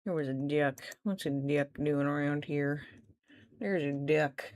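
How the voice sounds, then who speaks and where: Slow, chatty woman with a rough morning voice. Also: low voice, darkish tone, no room sound. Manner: chatty, giggly, talks to chat while playing. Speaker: streamer. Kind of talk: casual gaming livestream chat.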